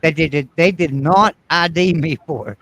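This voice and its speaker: A man from Virginia, telling emphatic, animated stories with mild cursing in a casual live chat. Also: a little high, normal speed. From West Virginia.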